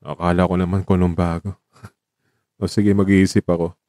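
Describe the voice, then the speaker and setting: A man with a Filipino accent acting a gentle, dying young man in a radio drama, soft and wistful as he talks tenderly to his lover. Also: low voice, flat delivery, moderate pace, dry recording, clean studio sound, lightly acted. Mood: wistful, playful.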